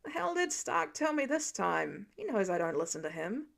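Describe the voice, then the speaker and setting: A woman voicing a weary, sarcastic adult male hero who grumbles in a deadpan way in a story reading. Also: medium pitch, normal speed, gravelly. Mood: grumbling, sarcastic.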